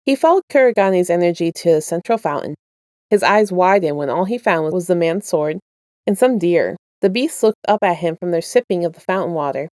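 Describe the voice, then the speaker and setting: A woman's voice: lowish voice, animated, brisk, darkish tone, no room sound, clean studio sound. A woman narrating her own written fiction as an amateur audio story reader. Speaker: podfic writer and narrator. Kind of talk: casual intro and scripted story narration.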